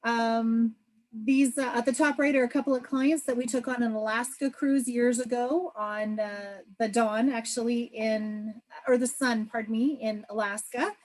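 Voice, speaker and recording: A woman's voice: a little high, fairly even intonation, normal speed, rough recording. A woman travel agent from Canada hosting a video call presentation, upbeat and chatty. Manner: upbeat, chatty, many fillers.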